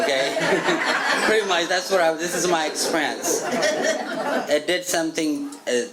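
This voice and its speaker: A man's voice: medium pitch, a little hiss or hum, rough recording. Ethiopian accent guy in his 30s, jokey recovery talk, keeps laughing, stumbles and restarts. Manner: joking, laughs often, halting restarts. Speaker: guest speaker at a recovery meeting. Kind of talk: personal recovery story, casual chat. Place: church meeting room.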